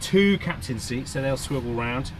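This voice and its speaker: Cheerful presenter, forties, chatty walkaround on a breezy coast, mid-pitched voice, noisy outdoor audio. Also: male voice, lively intonation, normal speed. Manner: chatty, enthusiastic, pointing things out as he walks. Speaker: presenter reviewing a camper van. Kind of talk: upbeat walkaround review. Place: outdoors by a van on a windy coast, and inside the van.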